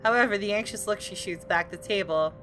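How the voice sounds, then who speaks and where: A woman's voice: mid-range voice, brisk, fairly bright tone, soft background music. A woman gaming host reading visual novel narration aloud, sometimes in a casual conversational style.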